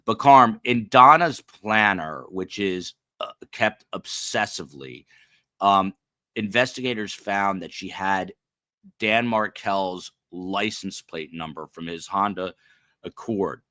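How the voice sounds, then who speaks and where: A man's voice: deep voice, slow, pushed and bright, no room sound. A man in his fifties hosting a podcast in casual talk, rambling with restarts and asking pointed questions, sounding tired. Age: 50s. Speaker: podcast host. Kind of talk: casual live-stream talk.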